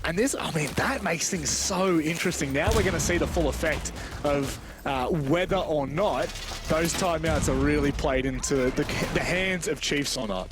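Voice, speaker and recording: A man's voice: fairly high pitch, normal speed, noisy background. A man commentating live on an esports match in a chatty, teasing, jokey way.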